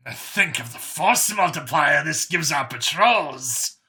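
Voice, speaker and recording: Guy playing a pompous alien council guy in a sci-fi audiobook, callous and keen, sharp bright voice, lots of pitch movement. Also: lively intonation, slow, exaggerated voice. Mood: insistent. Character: eager, callous male alien councilor, hungry for weapons.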